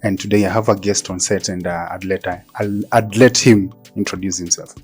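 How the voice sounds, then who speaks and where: A man hosting a podcast interview, speaking conversationally and haltingly as he rephrases his way into questions. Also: low-pitched, steady tone, a little mellow, soft background music. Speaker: podcast host.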